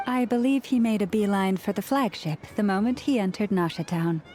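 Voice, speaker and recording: A woman's voice: medium pitch, a little fast, dark tone, soft background music, close to an everyday voice. A woman voicing a composed, courteous young woman in a fantasy video game.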